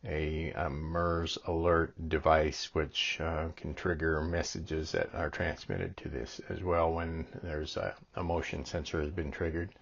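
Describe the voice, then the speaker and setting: A man's voice: rumbling low, little pitch movement, unhurried, vocal fry, few pauses, lo-fi sound. A man giving a casual product demonstration as a reviewer, explaining things in a plain-spoken, hesitant way.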